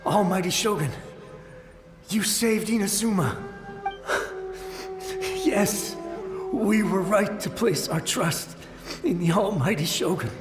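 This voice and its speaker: A battle-weary samurai's ghost, pitched high for a male voice. He speaks with urgent, pleading drama. The voice rings through a long echoing reverb with music underneath. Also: slow pace, pauses often. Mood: relieved, reverent. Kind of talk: video game voice acting.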